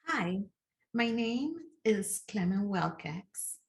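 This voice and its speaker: A woman's voice: medium pitch, expressive, slow pace, creaky. A middle-aged woman with a Colombian Spanish accent, a native Spanish speaker, earnestly explaining a study as an engagement specialist in a recorded presentation from her living room.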